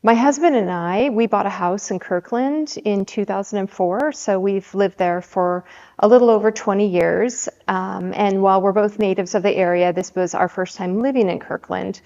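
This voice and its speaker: A woman's voice: medium pitch, darkish tone. Candidate woman in a reverberant room. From Seattle area, USA. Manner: measured, with frequent ums and restarts. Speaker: city council candidate. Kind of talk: candidate interview answers. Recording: dull audio with long hall reverb.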